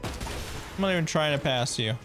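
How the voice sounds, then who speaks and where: A man's voice: on the high side, expressive, normal speed. A man streaming a casual gameplay reaction, muttering informal asides at the screen. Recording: game music behind the voice.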